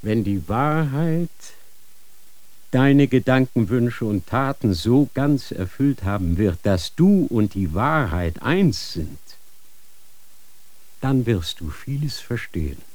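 A fanatical inquisitor in a radio play, preaching to his son about power and fear. He speaks slowly, with a voice that rises and falls widely, solemn and insistent. The sound is close and dry, like a studio. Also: mid-range voice, expressive, darkish tone, dry recording. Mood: commanding. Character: stern fanatical male church inquisitor, a father. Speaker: inquisitor father. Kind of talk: radio drama acting.

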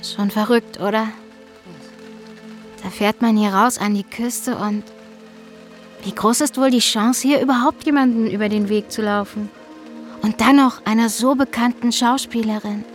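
A young woman with a high voice played by an actress in a radio drama, a long-lost sister who feels her way hesitantly toward something intense. Her delivery is naturalistic, with faint music behind it. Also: fairly even intonation, a little slow, lightly acted. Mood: probing. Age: young adult. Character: long-lost abducted younger sister posing as drenched lost hiker.

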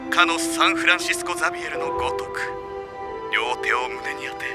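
Brief: male actor as pompous high-school zealot, highish voice swinging wildly, preacher-like, anime drama over loud music. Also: fairly quick, natural voice. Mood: solemn preaching. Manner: pompous, theatrical, preacher-like delivery. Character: bombastic teenage boy preaching worship of his idol. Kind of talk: Japanese anime audio drama acting.

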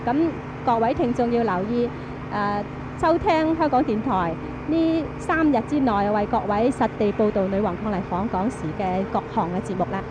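Female radio announcer, Hong Kong accent, slightly high voice, formal measured scripted read, clearly noisy archive sound. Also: dull audio.

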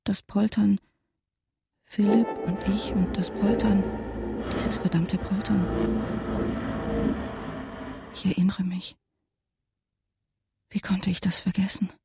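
A woman's voice: mid-range voice, level tone, unhurried, creaky, pauses often. Radio drama haunted mother, tense and intense, defiant under pressure, over prominent music. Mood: haunted, horrified. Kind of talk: German radio drama acting with inner monologue.

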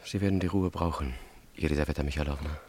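An actor playing an anguished young revolutionary who poses as a secretary, urgent and halting, in a German radio drama. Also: male voice, extremely low pitch, steady tone, a little mellow, no room sound. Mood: restrained, resolute. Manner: urgent, halting, repeating himself when agitated.

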